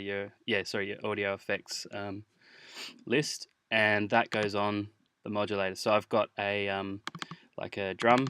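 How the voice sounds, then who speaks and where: A man teaching music software in an informal tutorial, hesitant as he thinks aloud while demonstrating. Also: fairly low pitch. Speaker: music production instructor. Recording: clear background noise, mouse clicks.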